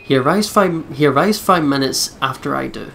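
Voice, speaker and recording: High-ish creaky man reading aloud. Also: lively intonation, moderate pace, a bit bright, a little hiss or hum. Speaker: let's play host. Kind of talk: reading visual novel narration aloud, with casual commentary.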